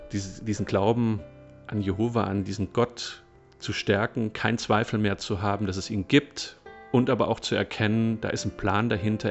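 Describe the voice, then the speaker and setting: A man in his fifties talks in an earnest, explanatory way with a low voice. Faint music runs behind him. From southwestern Germany. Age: 50s. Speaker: interviewee. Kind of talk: personal testimony.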